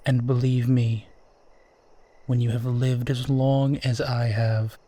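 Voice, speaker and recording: A man playing a suave, centuries-old vampire in a roleplay audio drama, speaking formally, with old-fashioned courtesy and gentle teasing. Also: mid-range voice, flat delivery, slow pace. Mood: smooth, amused. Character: suave, courtly centuries-old male vampire who looks twenty-eight.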